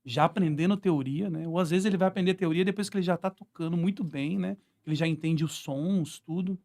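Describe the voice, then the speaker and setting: A man's voice: fairly high pitch, brisk, a little mellow. A man with a Brazilian accent telling stories as a musician guest in a casual interview, often checking in with little tags. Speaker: guest musician, singer-songwriter.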